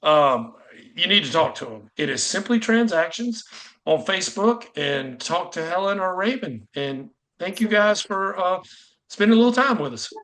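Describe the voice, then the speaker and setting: A male podcast host with a fairly high, very bright voice. He chats informally and wanders a bit, as in a relaxed interview. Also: moderate pace, rough recording. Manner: chatty, informal, rambling. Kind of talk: casual conversational interview.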